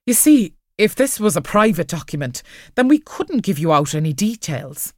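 A woman's voice: fairly low pitch, sing-song, moderate pace, darkish tone, no echo, studio quality. A woman reading a storybook, voicing an officious post office clerk, a man explaining the rules. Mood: relieved, officious.

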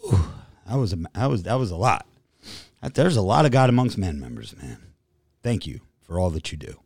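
Podcast host, male, lowish voice, dry close mic, loose and rambling delivery. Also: big pitch swings, fairly quick, gravelly, a little mellow, breathy edge, dry recording. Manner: rambling, reads supporter names in a long run. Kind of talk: casual livestream podcast chat.

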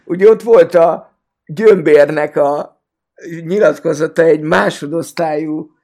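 A man's voice: fairly high pitch, darkish tone. A man talking casually as a panelist on a football podcast.

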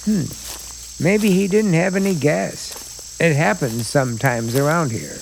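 An actor playing a sinister, coaxing bridegroom in an old-time suspense radio drama, with a folksy, drawling, insistent manner. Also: male voice, a little high, takes it slowly, vocal fry, slight background noise. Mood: casual, evasive. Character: sinister, coaxing New England bridegroom with secrets.

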